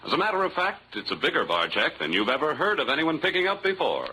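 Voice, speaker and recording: Animated male vintage radio announcer. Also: a little high, fast, a little hiss or hum, low-quality recording. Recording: old radio recording with faint music.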